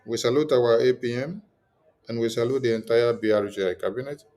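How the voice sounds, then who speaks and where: A man's voice: medium pitch, steady tone, a little fast, dark tone. A man hosting a live-streamed political address, repeatedly asking his viewers for help. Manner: repetitive, pleading requests to viewers. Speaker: livestream host.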